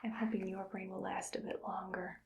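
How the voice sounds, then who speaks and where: A woman performs a calm, cold female evil scientist for an ASMR roleplay. She mostly whispers, and her words stay clinical and matter-of-fact. Her voice is middle-pitched with a crackly, gravelly fry. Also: brisk, natural voice. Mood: coolly clinical. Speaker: ASMR creator.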